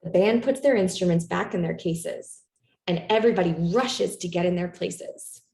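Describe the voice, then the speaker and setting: A woman reading a children's picture book aloud in an expressive, animated storytelling manner for young listeners. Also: on the low side, moderate pace, gravelly. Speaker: storytime reader. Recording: roomy, echoey room sound.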